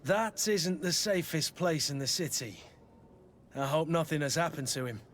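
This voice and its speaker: An actor playing a gruff, worried soldier and bodyguard in a video game, speaking in a blunt, grumbling way. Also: male voice, fairly high pitch, expressive, normal speed, a bit bright, a little hiss or hum. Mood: concerned. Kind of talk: video game cutscene dialogue acting.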